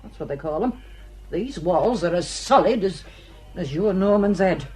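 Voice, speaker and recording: An actress playing a spiteful, grasping old mill owner in a radio drama, with a Northern English accent and a blunt, scolding, sarcastic manner. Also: female voice, lowish voice, animated, normal speed, warm tone, breathy edge, soft background music. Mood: scornful, grasping. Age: older.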